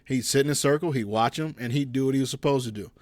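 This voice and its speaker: Easygoing young dad with a halting delivery. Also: male voice, mid-range voice, a little mellow, dry recording. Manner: halting, restarts often, easygoing. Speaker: podcast co-host. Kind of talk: casual chat.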